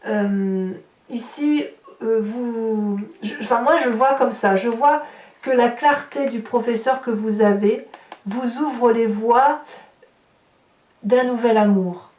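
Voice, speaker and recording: A woman's voice: on the high side, slow pace, a little mellow. A woman giving an improvised tarot reading straight to her listeners, explaining as she goes and searching for words aloud. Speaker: tarot reader, horoscope host. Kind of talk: improvised spoken tarot reading addressed to viewers. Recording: roomy echo, distant microphone.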